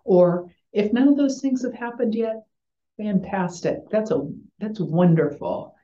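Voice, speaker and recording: An older woman with an American accent giving a gentle chapel talk to schoolchildren as a chaplain, in simple phrases, over a video call from a small room. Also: mid-range voice, lively intonation, measured pace. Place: small home room with bookshelves. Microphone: computer mic on video call. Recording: roomy, dull video-call sound.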